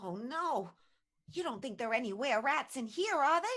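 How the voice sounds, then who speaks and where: Woman as a very high-pitched, naive pupil. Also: animated, a little fast, fairly bright tone. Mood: anxious wonder. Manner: wide-eyed, hesitant, exclaiming. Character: naive, fretful female pupil of a werewolf. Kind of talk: improvised radio play acting.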